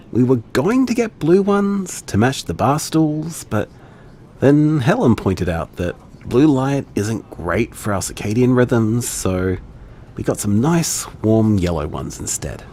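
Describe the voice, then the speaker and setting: A man playing an anxious, tender man confiding in voicemails to his partner in an intimate audio drama, hesitant and earnest, with halting phrasing. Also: medium pitch, very animated, darkish tone, slight background noise. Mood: cheerful, affectionate.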